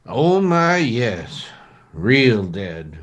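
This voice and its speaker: A slow, muttering frontier doctor. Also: male voice, fairly low pitch, very animated, full character voice. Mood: dry, grim. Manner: hesitant muttering. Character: dry, muttering male frontier town doctor. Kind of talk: old-time Western radio acting.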